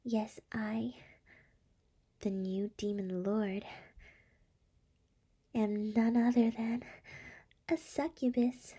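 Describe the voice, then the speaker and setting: A woman voicing a seductive, scheming succubus demon lord in an ASMR roleplay, breathy and soft, whispering with a teasing purr close to the microphone. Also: fairly high pitch, steady tone, very slow, pauses often, heavily acted. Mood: teasing, smug.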